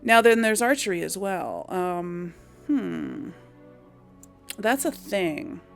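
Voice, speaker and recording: A woman talks through a video game in a casual, musing manner. Her voice sits slightly low with a noticeable creak, and she hesitates as she thinks out loud. Quiet music plays underneath. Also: very animated, slow pace. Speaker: video game let's player.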